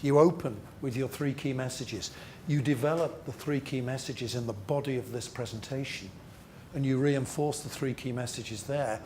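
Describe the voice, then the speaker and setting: Male seminar trainer, medium pitch, emphatic and repetitive for effect, interactive teaching style, light background noise. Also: dark tone. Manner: emphatic, rhetorical questions and deliberate repetition. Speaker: business presentation trainer.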